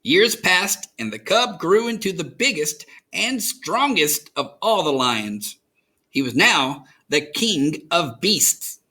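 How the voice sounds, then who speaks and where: Children's read-aloud host, American man, expressive and dramatic, bright tone with big pitch swings. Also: takes it slowly. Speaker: children's story reader, host. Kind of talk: animated storybook narration.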